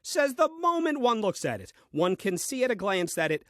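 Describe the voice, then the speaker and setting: A man's voice: sits high, very animated, normal speed, a bit bright, no room sound, studio quality. A grumbling, put-upon first man. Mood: exasperated, dry. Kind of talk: comic audio play monologue, diary entries.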